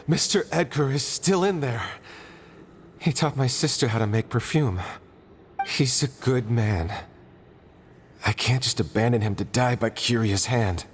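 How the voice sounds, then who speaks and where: A man's voice: medium pitch, a little hiss or hum, lightly acted. A man voicing a loyal, anxious young man in a game who speaks hesitantly, stumbling over his words. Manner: hesitant, halting, pleading. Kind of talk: voiced game dialogue.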